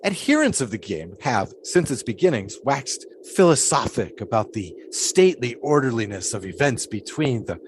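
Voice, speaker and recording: Male actor as an eccentric, philosophical pole sitter; teasing, dreamy, lively melody. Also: medium pitch, normal speed, few pauses, a little hiss or hum, natural voice, poor audio. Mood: musing. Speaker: pole sitter Shipwreck Kelly. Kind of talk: audio drama acting.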